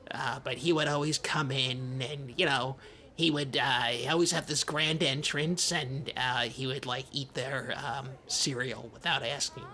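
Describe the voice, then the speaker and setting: A man's voice: mid-range voice, fairly even intonation, measured pace, sharp tone. A man playing a timid, childlike small-town podcast host who rambles and stammers in improvised comedy banter. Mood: uneasy, rambling.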